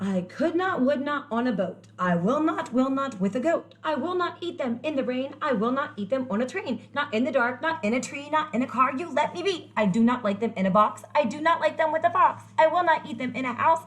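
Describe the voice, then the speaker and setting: A woman's voice: high voice, expressive, fairly quick, few pauses, fairly bright tone. Woman voicing a stubborn, theatrical storybook grouch. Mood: frantic protest. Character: stubborn, fussy, exasperated grouch refusing food. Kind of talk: animated children's read-aloud character voice.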